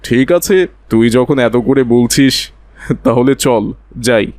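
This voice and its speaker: A man playing a humble, kindly, poor father figure in a cartoon, speaking in a gentle, fatherly way. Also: mid-range voice, fairly even intonation, a little slow, no echo, close to an everyday voice. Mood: hesitant, indulgent. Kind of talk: cartoon voice acting.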